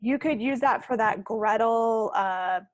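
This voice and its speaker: A woman's voice: fairly high pitch, measured pace, no echo, clean studio sound. A woman co-hosting a knitting podcast, chatting in a casual, rambling, unscripted way. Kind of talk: casual, unscripted craft chat.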